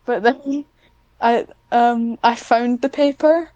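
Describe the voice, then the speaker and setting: A woman's voice: fairly high pitch, steady tone, takes it slowly, highs cut off, a crowd in the background. A nineteen-year-old woman chatting casually, rambling and self-deprecating as she goes. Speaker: podcast guest, ASMR script writer. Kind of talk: casual chat.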